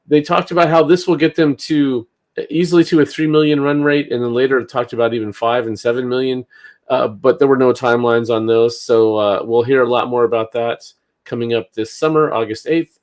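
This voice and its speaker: A man's voice: mid-range voice, steady tone, fairly quick. Male talk host, mid-range voice, informal news discussion with a hesitant, unscripted feel.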